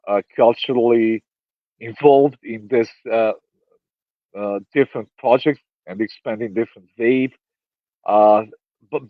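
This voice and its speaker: A man whose first languages are Serbian and Romanian, answering interview questions in a halting way with many fillers and restarts. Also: medium pitch, dry recording, muffled recording. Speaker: interview guest.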